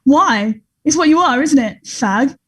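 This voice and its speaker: A woman's voice: sits high, lively intonation, a little slow, fairly bright tone. A woman playing a cocky, crude teenage boy who taunts people in a play table read. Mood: taunting, hostile.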